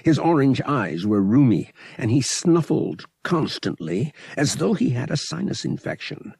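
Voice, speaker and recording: A man reading a scripted audiobook story as narrator, in a deliberate, expressive, dramatic storytelling manner. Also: mid-range voice, vocal fry, breathy edge, dry recording, clean studio sound.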